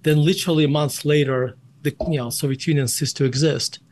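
Man, Russian accent, forties, higher-pitched, restarts his sentences often, interview guest. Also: fairly even intonation, a little fast, darkish tone. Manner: frequent restarts and repeated words while explaining. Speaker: guest, investment firm CEO. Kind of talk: conversational interview answers.

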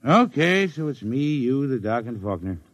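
A man's voice: medium pitch, very animated, normal speed, lightly acted. An actor playing a brash, pugnacious spaceship crewman in a mid-century radio drama, with slangy, blustering tough-guy talk. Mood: gruff, incredulous. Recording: noisy vintage radio recording.

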